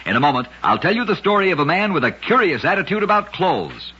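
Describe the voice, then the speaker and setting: A man with a husky, raspy voice narrating odd facts as a scripted vintage radio host, with dramatic, emphatic delivery. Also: high-pitched, very animated, fast, sharp tone. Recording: dull lo-fi vintage radio recording.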